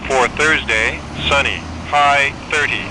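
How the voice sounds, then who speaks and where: A man reading a scripted weather radio forecast in a measured, impersonal, segmented way. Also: mid-range voice. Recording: noisy weather radio broadcast capture.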